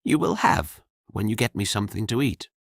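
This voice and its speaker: A man's voice: lowish voice, lively intonation, fairly quick, gravelly, no echo, studio quality, voice pushed up. A man voicing a wandering former king, courteous and wry, with old-fashioned courtly speech.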